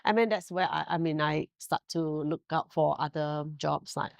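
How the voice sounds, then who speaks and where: Singaporean woman, forties, Mandarin native; low voice, dry close sound; storytelling interview guest, laughs often. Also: moderate pace, gravelly, no room sound. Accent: Singaporean English. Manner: warm storytelling with frequent laughs and fillers. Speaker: guest, hotel director. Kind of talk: casual interview.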